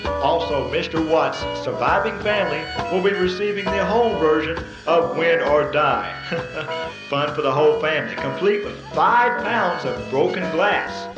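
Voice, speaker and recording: A man's voice: fairly high pitch, a little slow, over loud music. Man as a chirpy prize announcer, roomy sound. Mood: gleeful, salesy. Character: chirpy, callous male game show prize announcer. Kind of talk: comic radio drama acting. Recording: roomy, dull lo-fi audio.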